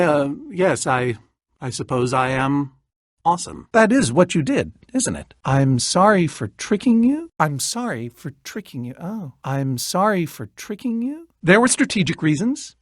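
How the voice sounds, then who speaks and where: A middle-aged man voicing an eccentric, lonely, excitable researcher in a video game, with verbose, pompous, theatrical diction. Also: a little high, no room sound, studio quality. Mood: bashful, then apologetic. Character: eccentric, lonely, excitable male researcher obsessed with studying creatures. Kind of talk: animated video game voice acting.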